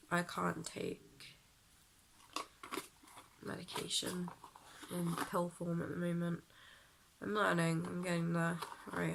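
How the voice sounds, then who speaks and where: A young woman with a deep, husky voice, sounding ill and croaky, chatting casually to the camera about her makeup and feeling sick. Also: noisy background. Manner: chatty and self-deprecating, sighing. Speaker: beauty vlogger.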